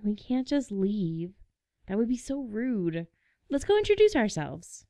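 A woman's voice: mid-range voice, very animated, unhurried, mellow, no echo, studio quality. A woman playing a friendly, curious, easygoing small-town young woman in a radio play. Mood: determined.

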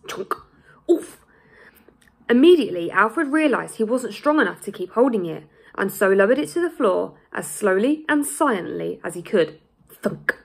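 A young woman reading a children's storybook aloud in an expressive, deliberate way with dramatic emphasis. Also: fairly high pitch, vocal fry, husky. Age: young adult. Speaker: primary school teacher reading aloud. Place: small indoor room, plain wall.

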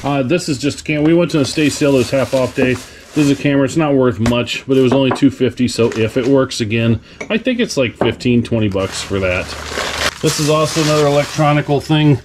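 A man's voice: medium pitch, expressive, a little fast. An American man talking casually as a reseller showing his finds. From United States. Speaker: reseller and vlog host. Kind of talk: casual haul chat. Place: cluttered indoor workroom. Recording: noisy room, clinking items handled.

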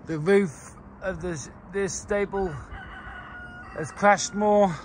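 A man's voice: high voice, a little hiss or hum. A man in his forties, a farm owner, chatting casually and rambling with restarts and fillers as he walks and films himself on a phone held at arm's length. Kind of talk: casual walk-and-talk vlog. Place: mountain farm, mostly outdoors, partly in a wooden kitchen.

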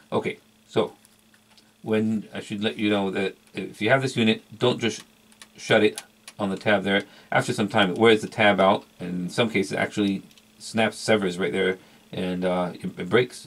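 Middle-aged man, deep voice, creaky fry, casual collector's review, hesitant and rambling. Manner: hesitant, rambling, repeats words for emphasis. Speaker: vintage electronics collector and reviewer. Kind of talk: casual unscripted product review.

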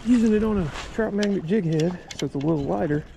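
High-pitched, gravelly fisherman. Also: fast. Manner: folksy, exclamatory, mild swearing. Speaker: fishing vlogger. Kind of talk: casual excited chat while fishing. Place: outdoors at a trout stream. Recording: noisy outdoor recording, water and ambient noise.

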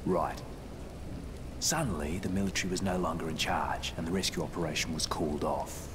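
An actor playing a battered, sardonic former soldier held captive in a video game, speaking dryly and with understatement. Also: male voice, low voice, fairly quick, background noise, lightly acted. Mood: grim, matter-of-fact. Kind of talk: video game cutscene acting.

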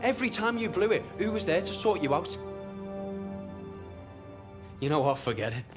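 An actor playing a defensive, indignant young friend and minder in a video game cutscene, with an English accent and a confrontational manner. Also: male voice, sits high, very animated, moderate pace, music in the background. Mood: aggrieved. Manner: confrontational, aggrieved. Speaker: friend managing a player's money.

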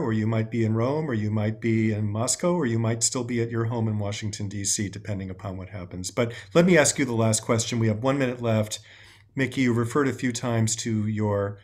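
A man in his sixties hosting an interview over a video call, asking measured questions and thanking his guest in formal terms. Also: mid-range voice. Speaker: host. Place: home room indoors.

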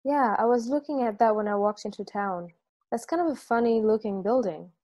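A woman's voice: a little high, a little fast, mellow, natural voice. A young woman playing a casual, guarded traveler chatting at a bar, in an online table read of a stage play. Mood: casual, curious. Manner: casual, plainspoken. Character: plainspoken, guarded female traveler, easygoing bar customer. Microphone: video call microphone.

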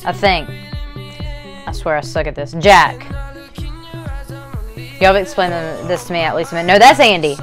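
A woman's voice: normal speed, long pauses. Young girl chatting thru a reaction vid on a clip-on mic, upbeat then mumbly, bit of music under it. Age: young adult. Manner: chatty and upbeat, drops to low murmurs while watching. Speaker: YouTube reaction vlogger. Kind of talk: casual reaction commentary. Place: bedroom at home, indoors. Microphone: lapel mic.

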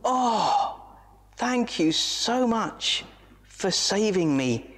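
Storyteller playing a tiny male monkey, very high squeaky voice, anxious and pleading, echoey hall. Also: very animated, drawn-out words. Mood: grateful. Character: small panicky male monkey.

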